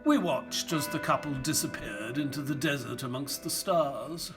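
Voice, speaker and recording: A man's voice: medium pitch, lively intonation, moderate pace, over music, natural voice. An actor playing an earnest, curious doctor who narrates the story and questions his companion.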